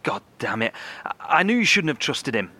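Man acting a sharp, husky, anxious companion. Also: mid-range voice, big pitch swings, normal speed. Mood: anxious, reproachful. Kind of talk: audio drama acting.

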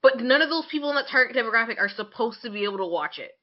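A young woman with a fairly high voice talks casually as a podcast co-host. She is candid and opinionated, voicing imagined quotes as she goes. The audio is a little dull and muffled. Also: lively intonation, very fast. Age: young adult. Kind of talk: casual conversational discussion.

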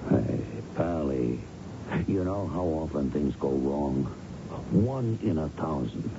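Very deep, gravelly slick smuggler. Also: male voice, lively intonation, slow, heavily acted. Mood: coaxing, needling. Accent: American. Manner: needling, insinuating, slangy tough-guy talk. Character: slick, pushy male smuggler and persuader. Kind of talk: radio mystery drama acting. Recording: muffled, very noisy radio broadcast.

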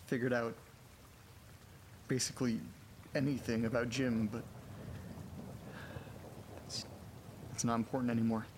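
An actor in a horror audio drama playing a weary, desperate man who records himself at home, muttering in halting, wry, sweary monologue. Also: mid-range voice, little pitch movement, noisy background, natural voice. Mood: somber, resigned.

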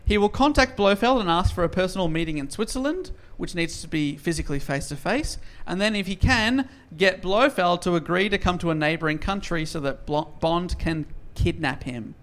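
Brief: male host, high voice, chatty comic recapper. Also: lively intonation, fairly quick, few pauses, fairly bright tone. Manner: jokey, laughs while telling. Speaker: podcast host recapping a novel. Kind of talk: casual comedic recap.

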